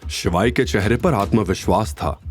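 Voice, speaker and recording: A man's voice: fairly low pitch, normal speed. A man narrating a scripted story in a dramatic style. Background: background music.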